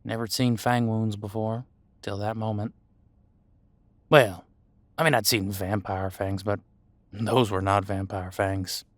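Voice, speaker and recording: Guy playing a chill demon homicide cop, lowish voice, folksy n reassuring, pauses a bit, really dry close recording. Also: flat delivery, dry recording, natural voice. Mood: reflective. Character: easygoing male demon homicide detective mentoring new partner. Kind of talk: audio roleplay drama, conversational monologue.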